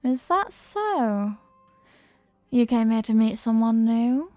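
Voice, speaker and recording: A woman's voice: fairly high pitch, sing-song, slow pace, darkish tone, no room sound. A woman playing a flirty, playful stranger at a New Year's party in an intimate audio roleplay, with a coy, teasing, murmuring delivery. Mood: flirty, hopeful.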